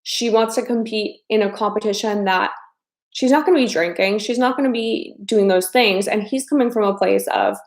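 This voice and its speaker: A woman's voice: mid-range voice, moderate pace, roomy sound. A chatty woman in her thirties from the American Midwest, hosting a casual live stream as a dating coach and often repeating her phrases. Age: 30s.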